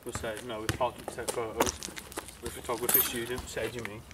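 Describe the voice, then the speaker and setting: A man's voice: mid-range voice, flat delivery, fairly quick, distant mic. A young man joking with friends, slangy and mocking. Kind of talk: casual banter with friends. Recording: loud road noise, off mic.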